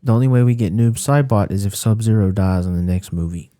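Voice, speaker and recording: A man on a podcast explaining things earnestly and a bit haltingly in casual movie chat. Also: on the low side, warm tone. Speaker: podcast panelist.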